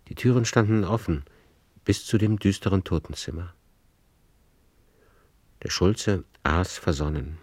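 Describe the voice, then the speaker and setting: A man narrating a literary radio drama in a measured, grave way of storytelling. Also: low voice, a little mellow, dry recording.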